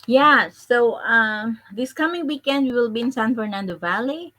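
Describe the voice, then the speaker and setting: A middle-aged woman with a Filipino accent, a children's book author chatting in an interview, lively and talkative. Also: on the high side, normal speed. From Philippines. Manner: chatty, lively, quotes people in animated voices. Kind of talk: casual interview conversation.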